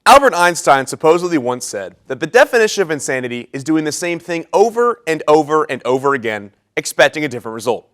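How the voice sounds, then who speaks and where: Brief: young male sports anchor, slightly high voice, very lively intonation. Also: fast talker, hardly any pauses. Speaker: studio anchor. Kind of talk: scripted sports news.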